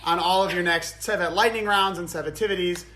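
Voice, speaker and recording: A woman's voice: lowish voice, steady tone, fast, a crowd in the background. A woman hosting a casual interview show with playful, mock-grand announcements. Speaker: interview host.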